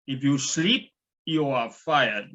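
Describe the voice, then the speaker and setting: Guy putting on a cold clipped baroness, menacing, slow, dull lo-fi sound. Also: mid-range voice, lively intonation, fairly bright tone. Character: stern clipped German woman hotel manager. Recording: dull lo-fi recording.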